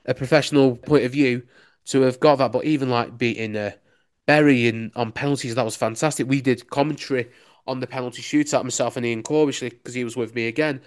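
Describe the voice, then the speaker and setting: A man's voice: mid-range voice, steady tone, brisk, clear, resonant tone. A young man with a Lancashire accent, a local radio sports reporter chatting enthusiastically in an interview, full of "you know"s. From Lancashire, England. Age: young adult. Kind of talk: casual interview answers.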